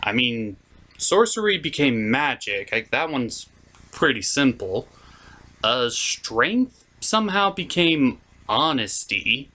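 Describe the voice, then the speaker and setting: Animated, sarcastic male reviewer. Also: medium pitch, takes it slowly. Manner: sarcastic, exasperated. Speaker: comedy reviewer, host. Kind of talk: comedic cartoon review commentary.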